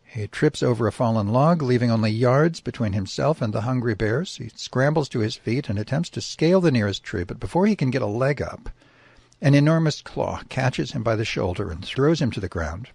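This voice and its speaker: A man's voice: mid-range voice, expressive, a little fast, gravelly, darkish tone, slightly rough, no echo. A man narrating a short story for radio in a dramatic storytelling style. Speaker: story reader.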